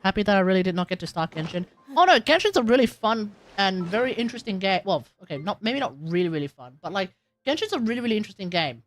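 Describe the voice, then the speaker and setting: A woman's voice: medium pitch, very animated, fast talker. A woman streamer chatting casually as she wraps up a stream, rambling with lots of "like" and laughing. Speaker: game streamer.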